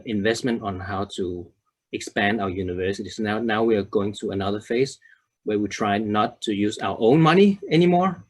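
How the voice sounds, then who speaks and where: A man in his thirties from Denmark giving a presentation, halting often with fillers and restarts. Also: on the low side, breathy edge. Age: 30s. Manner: hesitant, many fillers and restarts. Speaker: conference speaker. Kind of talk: presentation to a web audience.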